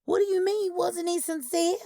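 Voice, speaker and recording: A woman's voice: very high voice, steady tone, moderate pace, warm tone, no room sound, clean studio sound, voice pushed up. A woman voicing a startled, incredulous female relative who questions her elders in a Southern Gothic story. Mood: puzzled. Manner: shocked, questioning.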